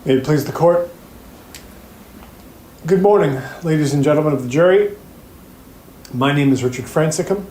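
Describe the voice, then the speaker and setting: A man playing an earnest, grave plaintiffs' attorney in an audio drama, speaking formally and with deliberate pauses. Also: on the high side, darkish tone, natural voice. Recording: roomy echo, faint background noise.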